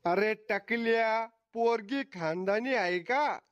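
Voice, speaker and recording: A man's voice: sits high, slow, fairly bright tone, no echo. Cartoon comedy grandfather, male performer: old, quarrelsome, boastful villager, blunt delivery, dull dry lo-fi recording. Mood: gruff. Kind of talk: Marathi comedy cartoon acting.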